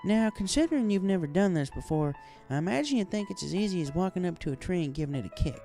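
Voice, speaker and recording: Man voicing a folksy, higher-pitched farm pony mare. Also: expressive, fast, dark tone, faint music behind. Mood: instructive. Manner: folksy, instructive. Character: hearty female farm pony, encouraging and instructive.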